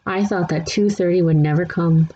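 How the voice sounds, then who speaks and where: A woman reads a children's story aloud. Her voice sits fairly low and has a soft, mellow tone. The recording is a bit dull, with faint noise behind it. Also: steady tone, moderate pace. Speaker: read-aloud narrator. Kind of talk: scripted children's book narration.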